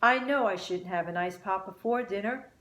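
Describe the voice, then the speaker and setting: A woman voicing an earnest, excitable young boy in a children's storybook. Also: medium pitch, moderate pace, roomy sound, close to an everyday voice. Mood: sorry. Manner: pleading, whining and whispering by turns.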